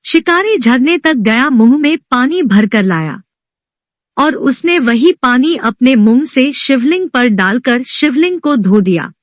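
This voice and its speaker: A woman storyteller with a fairly high voice reads a devotional tale. She takes her time over every phrase, very slowly. The sound is dry and close. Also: expressive, dry recording. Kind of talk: scripted devotional story narration.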